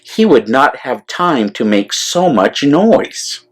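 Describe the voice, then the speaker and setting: Storybook rich schemer played by a man: calculating landowner, falsely generous, unhurried and measured. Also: medium pitch, sing-song. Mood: scheming.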